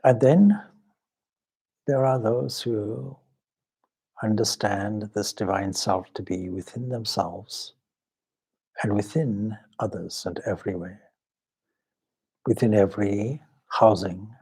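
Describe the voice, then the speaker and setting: A man leading a guided meditation as a swami, speaking solemnly and measuredly in short phrases with long pauses. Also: lowish voice, dark tone, slightly rough. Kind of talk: spiritual talk and guided meditation.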